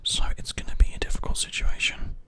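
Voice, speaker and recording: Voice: quick pace, fairly bright tone. A person whispering ASMR commentary over video gameplay, close to a binaural microphone. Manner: whispering throughout. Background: keyboard typing and mouse clicks.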